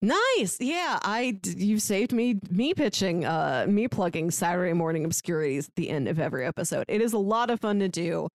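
A woman's voice: on the low side, animated, moderate pace, hardly any pauses, no echo, clean studio sound. A woman hosting a podcast in a casual, chatty style. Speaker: podcast host.

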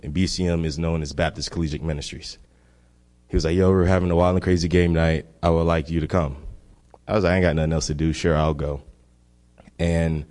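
A young man with a very low, deep voice tells his story earnestly and conversationally. His words ring out with a long reverb through a church hall. He speaks into a handheld microphone. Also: darkish tone. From Miami, Florida. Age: young adult. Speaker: guest sharing testimony. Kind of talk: personal testimony.

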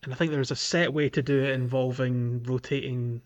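A man's voice: mid-range voice, fairly even intonation, brisk, dull audio. Enthusiastic man chatting casually on a podcast. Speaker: podcast co-host. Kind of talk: casual chat about a video game.

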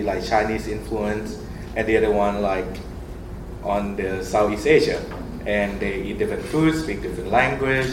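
A young man with a Thai accent speaks earnestly and animatedly, with a fairly low voice. He is sharing experiences in a group and fills his talk with "like" and "um". The recording is echoey, with clear ambient noise behind him. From Thailand. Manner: earnest, animated, laughs often, many fillers. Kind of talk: personal sharing in a group discussion. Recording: roomy echo with clear background ambience.

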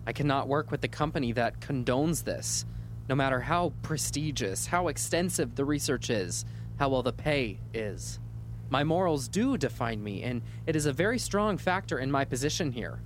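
An actor playing a soft-hearted doctor troubled by ethics in an audio drama, earnest, hesitant and polite. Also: male voice, medium pitch, animated, moderate pace, natural voice. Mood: firm, principled. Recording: faint noise and hum.

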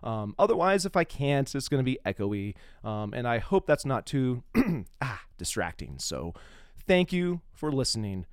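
A man's voice: medium pitch, big pitch swings, a little slow, smooth, no room sound, clean studio sound. A middle-aged man hosting a podcast, shifting between dramatic reading and casual talk. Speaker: podcast host.

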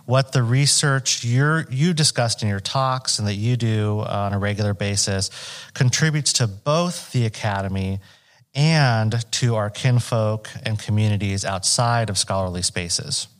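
A man's voice: on the low side, unhurried. A man moderating an academic panel, asking a long question with frequent fillers and hesitations.

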